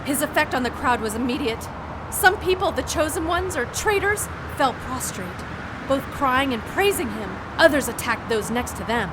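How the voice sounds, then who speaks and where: An actress playing a haunted heroine, narrating an audio drama gravely and dramatically in the first person. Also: female voice, very high voice. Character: haunted woman narrator fighting mind control. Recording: noisy crowd and outdoor din behind.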